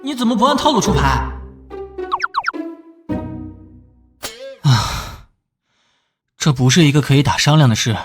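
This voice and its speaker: Expressive, fretful young male detective voiced by an actor. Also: a little high, fairly quick, music in the background. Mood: exasperated. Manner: expressive, fretful, self-scolding. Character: warm-hearted, flustered young male police detective, doting older-brother type. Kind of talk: animated drama dialogue and inner monologue.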